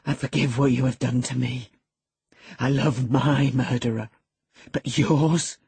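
A narrator voicing a brooding, tormented man in love, husky, hushed and intense, in an audiobook. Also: a bass voice, fairly even intonation, a little slow, no room sound, full character voice. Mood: anguished. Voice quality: husky, breathy.

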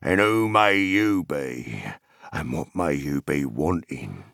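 Man voicing a gruff, hearty hobbit farmer. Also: a bass voice, animated, slow, fairly bright tone, no room sound, studio quality, full character voice. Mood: suspicious, challenging. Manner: rustic, blunt, exclamatory. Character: gruff, hearty, suspicious but hospitable middle-aged hobbit farmer. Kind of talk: dramatized fantasy audiobook character.

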